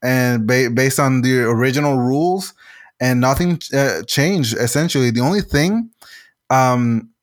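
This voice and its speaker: A man from Puerto Rico whose first language is Spanish talks through his design process at a middle pitch. He stops and restarts often and leans on fillers as he thinks. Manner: halting, many restarts and fillers. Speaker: game designer guest. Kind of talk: casual interview answers.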